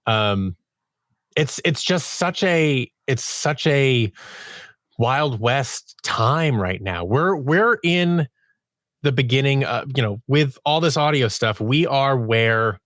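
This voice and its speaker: A man's voice: medium pitch, animated, slow, fairly bright tone, no echo, clean studio sound. A man talking casually as a podcast guest about his craft, explaining things enthusiastically and often voicing imagined quotes. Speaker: audio drama writer and producer, interview guest.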